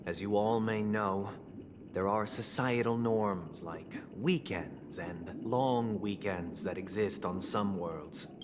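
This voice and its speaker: An actor playing a composed, idealistic visionary who preaches order in a measured, grandiose, condescending way for a video game. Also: male voice, on the low side, noisy background. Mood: patient, explanatory. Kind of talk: dramatic game voice acting, philosophical monologue. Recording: studio voice under background music. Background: game music.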